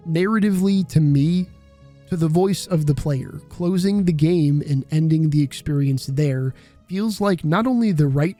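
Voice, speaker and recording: A young man in his twenties narrates a video essay in an earnest, contemplative voice-over. His voice sits a little high for a man, with a mellow, dark tone. Faint music plays underneath. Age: 20s. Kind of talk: reflective scripted narration.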